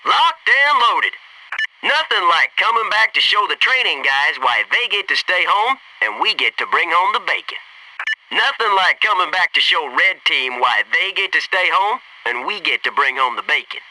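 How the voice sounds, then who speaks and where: A brash young SEAL squadmate from a shooter game, with a high, very forceful, sharp voice. He tosses out military banter with a cocky edge, sounding set back from the mic. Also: big pitch swings, unhurried, far from the mic, a little hiss or hum, exaggerated voice, rough recording. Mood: joking. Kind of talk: scripted video game squad radio lines.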